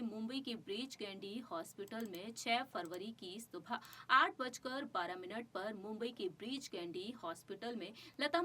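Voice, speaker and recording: A woman's voice: a little high, fairly bright tone, a little hiss or hum. Woman presenting scripted entertainment news.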